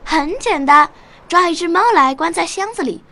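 A keen bookish little girl in a radio play, high bright voice, bit of noise behind. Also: moderate pace, vocal fry, exaggerated voice. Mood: earnest. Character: bright, eager nine-year-old girl, bookish and pleading. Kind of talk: radio drama acting.

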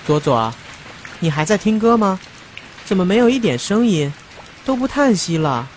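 Fussy, blunt sock pal, woman acting in audio fairy tale; medium-pitched, very lively delivery, caring underneath. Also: normal speed, a little hiss or hum, natural voice. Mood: concerned. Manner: expressive, chiding, exclamatory. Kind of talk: fairy-tale radio drama dialogue. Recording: clear music behind voice.

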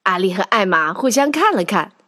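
A woman narrating a children's audio drama in an expressive storytelling manner, with music behind her. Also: mid-range voice, a little fast, dry recording, clean studio sound.

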